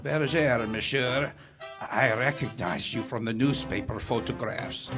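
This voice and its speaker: Man playing a slow, furtive underground contact. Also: mid-range voice, music in the background, lightly acted. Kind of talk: 1940s radio acting.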